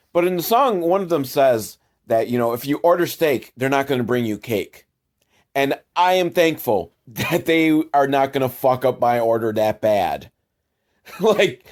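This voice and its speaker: A man hosting a comedic film review show, talking in a casual, rambling, wry way and swearing freely. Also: mid-range voice.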